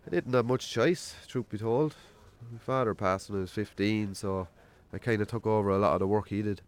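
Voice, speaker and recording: An actor playing a wry, self-deprecating rural Irish farmer in a radio drama, telling stories colloquially. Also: male voice, on the low side, fairly quick, close to an everyday voice. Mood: reflective, modest. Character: Jamesy, rural bachelor farmer, self-deprecating storyteller on a date. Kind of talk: naturalistic radio drama acting.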